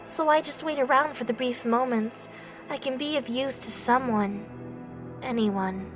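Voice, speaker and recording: Woman as a high, soft, innocent young god. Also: close to an everyday voice. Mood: wistful, lonely. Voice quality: soft, delicate, feminine-sounding. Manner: timid, halting, apologetic, earnest. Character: soft-voiced, youthful, innocent, lonely younger brother god. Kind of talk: audio drama acting. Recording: background music bed.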